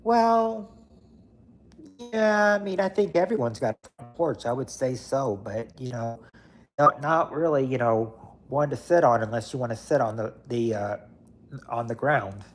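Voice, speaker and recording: A man's voice: mid-range voice, unhurried, faint music behind. Rambling man in his late thirties. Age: 30s. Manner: rambling, repeats himself with many fillers. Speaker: livestream host. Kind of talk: casual chat reading viewer comments.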